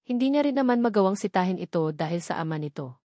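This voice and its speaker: A woman's voice: mid-range voice, animated, fast talker, mellow, dry recording, clean studio sound. A woman reading a romance novel as a scripted audiobook narration.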